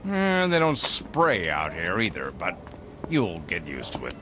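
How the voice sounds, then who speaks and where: An actor playing an eccentric older gentleman, a secretive mentor with a grandiloquent, fatherly manner in a theatrical radio drama. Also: sits low, huge pitch swings, measured pace, over music, full character voice. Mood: genial, knowing. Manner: grandiloquent, avuncular, emphatic. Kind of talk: theatrical audio drama acting.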